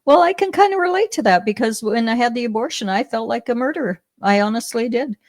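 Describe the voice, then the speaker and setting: A middle-aged Canadian woman telling her story as an interview guest, animated, often laughing, with many restarts. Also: a little high, fast talker, dry recording, clean studio sound. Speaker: podcast guest.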